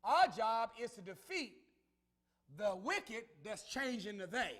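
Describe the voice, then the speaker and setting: A man preaching a sermon to a congregation, calling out to them and building to shouts. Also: high voice, big pitch swings, takes it slowly. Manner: call-and-response preaching, rising to shouts. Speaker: minister.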